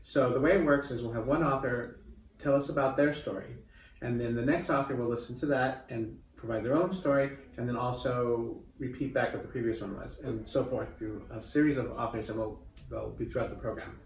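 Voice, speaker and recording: A man's voice: mid-range voice, fairly even intonation, brisk. A man co-hosts with playful, casual banter. He sits back from the microphone in a roomy space, and a faint noise runs behind his voice. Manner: playful, joking. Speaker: co-host and author. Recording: roomy, distant mic, faint background noise.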